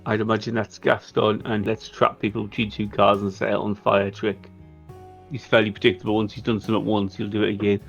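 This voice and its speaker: A man's voice: on the low side, flat delivery, brisk, gravelly. A man talking casually as a player in a tabletop game, planning moves and putting questions to the game master. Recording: slightly dull audio with background music. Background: music.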